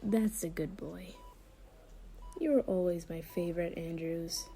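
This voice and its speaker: A woman acts out a female doctor with two sides, tender and quietly menacing. Her voice is mellow and somewhat low, delivered slowly and intimately in hushed ASMR roleplay style. She sounds sweetly condescending and in control. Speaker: voice performer.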